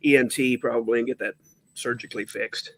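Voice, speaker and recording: A man with a high, nasal speaking voice that sounds stuffed up and congested talks casually on a stream. He agrees readily with quick yeahs, and his voice sits close and dry, without any room sound. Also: fairly even intonation, fairly bright tone, dry recording. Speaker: stream host.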